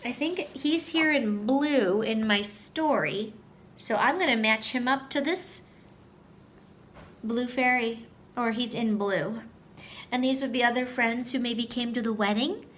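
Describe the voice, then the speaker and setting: Slow, high-voiced woman teaching young children. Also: fairly bright tone, slight background noise. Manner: sing-song, addresses children directly with simple questions. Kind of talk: story-time lesson for young children.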